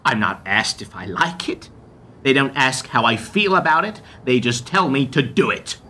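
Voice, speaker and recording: A man acting a cold, blunt bank executive in an amateur radio play, talking in brisk, clipped lines. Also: medium pitch, lively intonation, sharp tone, breathy edge. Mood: weary. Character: cold blunt male corporate banker. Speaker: bank executive delivering bad news.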